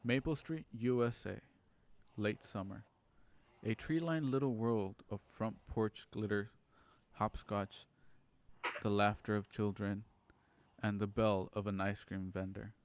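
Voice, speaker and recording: A man's voice: fairly low pitch, fairly even intonation, measured pace. A man delivering scripted dramatic narration for a radio play. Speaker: opening narrator.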